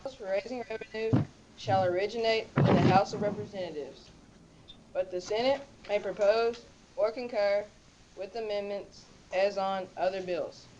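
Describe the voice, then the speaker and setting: A woman's voice: medium pitch, steady tone, moderate pace. A woman reading a formal legal document aloud as a participant. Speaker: participant reader. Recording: dull, noisy videoconference audio.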